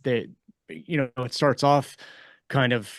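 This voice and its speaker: A man's voice: medium pitch, steady tone, slow pace, no room sound, clean studio sound. A man co-hosting a casual podcast discussion, explaining things in a rambling way and often rephrasing as he goes. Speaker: podcast co-host and fiction author.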